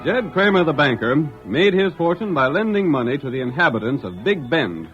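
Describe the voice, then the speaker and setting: A man's voice: fairly high pitch, very animated, fairly quick. A man narrating a vintage western radio drama as announcer, in a theatrical, declamatory style. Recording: muffled old radio recording. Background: music.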